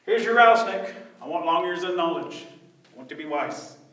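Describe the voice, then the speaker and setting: A cheeky little storybook rabbit, acted out by a man, pitched high and bright. He schemes and wheedles with eager, exclamatory urging. The sound is very echoey, picked up from far off. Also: lively intonation, moderate pace. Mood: demanding. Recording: echoey room, distant microphone.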